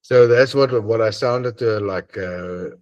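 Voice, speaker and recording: A man with an Afrikaans accent and a deep voice, hosting an online group and chatting earnestly and hesitantly over a video call. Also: measured pace, a little mellow, highs cut off. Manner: hesitant, many ums and uhs, earnest. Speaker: host of an online assembly. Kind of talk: casual closing chat on a video call.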